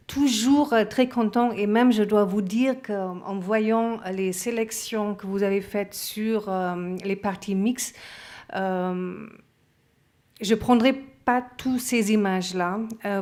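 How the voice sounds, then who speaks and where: A woman's voice: medium pitch, darkish tone. A woman from Germany speaking French with a German accent, giving a conference talk with many hesitations. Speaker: association president and magazine photo editor. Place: conference room.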